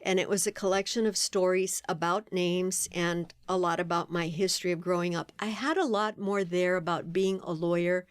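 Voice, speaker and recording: A woman guest on a podcast interview, telling stories in an animated way and laughing often. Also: lowish voice, moderate pace, few pauses, clean tone, no room sound. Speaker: guest, playwright and performer.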